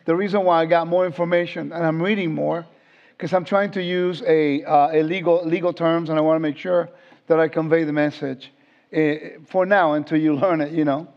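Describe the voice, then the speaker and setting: Guy from puerto rico teaching a bible class, kinda high voice, muffled lo-fi sound. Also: steady tone, moderate pace. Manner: conversational, asks the audience questions. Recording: dull lo-fi sound.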